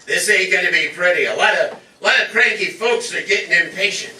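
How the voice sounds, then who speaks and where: A man's voice: high-pitched, brisk, sharp tone, far from the mic. A man playing a weary, exasperated wagon master in a stage radio parody. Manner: drawling, exasperated. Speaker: amateur stage actor. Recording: roomy stage from afar, audience noise.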